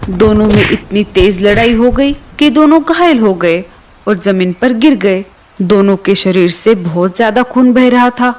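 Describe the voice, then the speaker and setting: A woman's voice: mid-range voice, expressive, measured pace, a little mellow. A woman reading scripted narration for a children's cartoon story.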